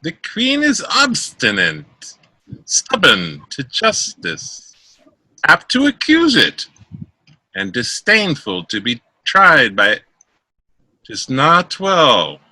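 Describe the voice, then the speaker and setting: A man's voice: on the high side, wildly swooping pitch, slow, long pauses, pushed and bright, no echo, full character voice, low-quality recording. A man playing a stern, disapproving churchman in an amateur reading of a Shakespeare play. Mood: stern disapproval. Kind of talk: amateur Shakespeare read-aloud.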